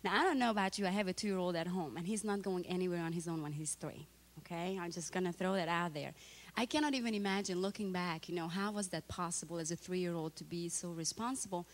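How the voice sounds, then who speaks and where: A woman's voice: lowish voice, fast talker. A woman in her thirties from Romania, speaking with a Romanian accent, shares her personal testimony at a church and often checks in with the audience. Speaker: guest speaker. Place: church sanctuary.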